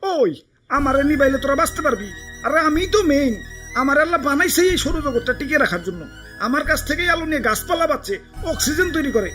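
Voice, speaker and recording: A man's voice: very high voice, animated, fast. A man with a Bangladeshi accent voicing a boastful, flirtatious, hot-tempered sun in a comic cartoon, swaggering and sarcastic. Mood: proud. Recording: music behind the voice.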